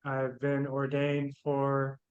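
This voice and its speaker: A man from the United States, an ordained monk, introducing himself slowly and evenly. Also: mid-range voice, completely flat delivery, clean tone, dry recording, lo-fi sound. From Portland, United States.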